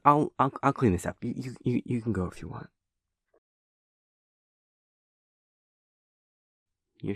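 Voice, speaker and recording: Man playing a stammering, shy young hunter, creaky voice. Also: mid-range voice, dry recording, clean studio sound, exaggerated voice. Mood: flustered, nervous. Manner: stammering, hesitant, apologetic. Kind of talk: anime roleplay audio drama.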